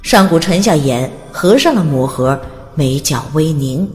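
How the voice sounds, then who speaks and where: A woman's voice: low voice, expressive, measured pace, darkish tone, big-room sound. A woman narrating a fantasy audiobook in a dramatic, scripted storytelling style. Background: music.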